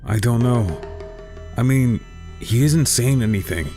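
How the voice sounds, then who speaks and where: Principled young-hero type ninja, male actor: measured, subdued, mid-range voice over soft music. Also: mid-range voice, lively intonation, natural voice. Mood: troubled. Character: earnest, troubled, principled male ninja investigator. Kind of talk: audio drama acting.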